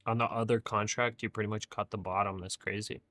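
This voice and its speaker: A young man hosting a live trading stream, chatting casually with viewers in a monotone voice and calling them bro and dude. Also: lowish voice, fairly quick, no echo, soft background music. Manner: says his own voice is monotone. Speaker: livestream trading host.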